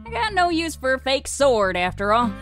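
A woman's voice: sits high, sing-song, moderate pace. A woman voicing a scrappy, hot-tempered cowgirl outlaw in a cartoon dub, with a folksy Southern US drawl. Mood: sly, dismissive. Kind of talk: cartoon comic dub acting. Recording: music behind the voice.

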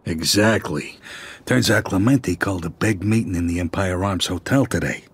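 An actor playing a short-tempered male mob underboss who talks gruffly and irritably. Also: sits low, normal speed. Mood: briefing.

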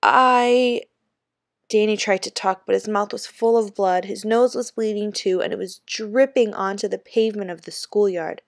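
A woman's voice: mid-range voice, steady tone, normal speed, creaky, hardly any pauses, darkish tone, no echo, studio quality. A woman reading a children's book aloud expressively, like a teacher with a class text.

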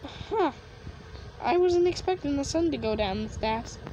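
Higher-pitched woman over clear background noise. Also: expressive, a little slow, mellow, dull audio. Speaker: player in a game roleplay video. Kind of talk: improvised roleplay and casual gameplay commentary. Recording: noisy home recording with clicks.

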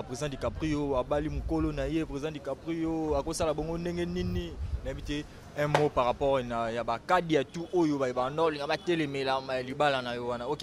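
A man in his thirties with a Congolese accent, hosting a casual interview. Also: medium pitch. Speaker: interviewer, show host. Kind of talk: casual TV interview, switching languages. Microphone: handheld interview microphone. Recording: outdoor recording with busy background chatter.

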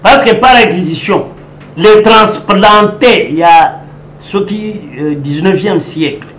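A man's voice: high-pitched, slow, fairly bright tone. A middle-aged man with a Congolese French accent, a lawyer giving an emphatic political interview in an office, repeating his points and mixing French with Lingala. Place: indoor office with bookshelves.

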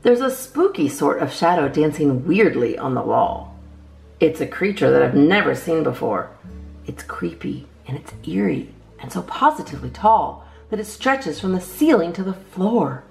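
A woman's voice: deep voice, sing-song, moderate pace, vocal fry, a little mellow, faint music behind. A woman hosting a storytime, reading children's poems aloud expressively with theatrical emphasis. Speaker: storytime host reading a picture book.

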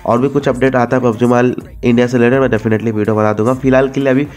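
A man's voice: mid-range voice, level tone, fast, a little mellow. A man with an Indian accent giving a casual, informal gaming voice-over as host, mixing in English words and repeating himself. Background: background music.

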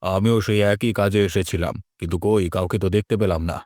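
A man's voice: deep voice, steady tone, fairly quick, darkish tone, no room sound, studio quality, natural voice. A man voicing a scheming, menacing village bigwig in a cartoon, stern and self-important. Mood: guarded, feigned concern. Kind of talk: dubbed cartoon dialogue.

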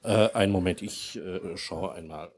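A man playing a puzzled, halting embassy servant in a live radio play. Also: low-pitched, slightly rough. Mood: dutiful, puzzled. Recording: noisy live stage, somewhat distant microphone.

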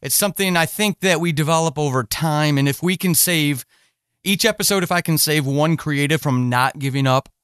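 A man's voice: on the high side, moderate pace, fairly bright tone, smooth, no room sound, studio quality. A man hosting a podcast interview in a casual, earnest and encouraging way.

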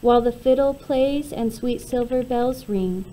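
A woman reads a children's storybook in rhyme, her voice a little high and softly mellow. She gives the verse expressive, measured phrasing. Faint noise sits behind the voice. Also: low-quality recording. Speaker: storybook reader. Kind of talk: read-aloud of a rhyming children's picture book.

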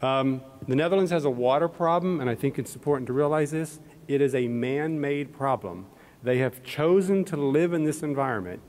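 A man's voice: medium pitch, moderate pace, darkish tone. Pittsburgh-accented man at a podium, reverberant hall. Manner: conversational, with fillers and restarts. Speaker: embassy economist and panel moderator. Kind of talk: public talk at a podium. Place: large hall with stage and audience. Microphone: podium microphone.

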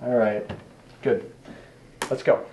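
A man's voice: medium pitch, steady tone, slow, dark tone, natural voice. A man playing a bossy, exasperated amateur film director, argumentative and sarcastic, in a naturalistic comedic style. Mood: exasperated, commanding. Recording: echoey room, faint background noise.

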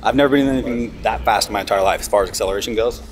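An American man in his thirties chatting casually and excitedly, full of amazed bro-talk. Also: on the low side, very fast. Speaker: vlogger and monster truck driver. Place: in a race car and outdoors at a track. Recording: engine and outdoor noise behind.

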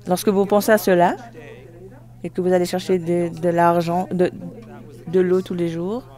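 An interpreter giving a live spoken translation of a formal speech, phrase by phrase, with halting self-corrections. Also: mid-range voice, little pitch movement, mellow.

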